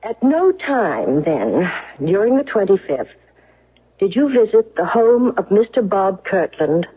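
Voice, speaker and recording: A woman's voice: mid-range voice, big pitch swings, unhurried, husky. A woman playing a commanding, relentless defense lawyer in a 1970s radio courtroom drama, speaking in an emphatic, accusatory way. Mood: stern questioning. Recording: dull, lo-fi old radio recording.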